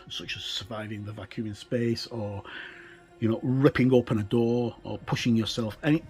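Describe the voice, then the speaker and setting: A middle-aged man giving an informal explanatory walkthrough as a gaming reviewer, halting as he thinks aloud. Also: mid-range voice, a little mellow.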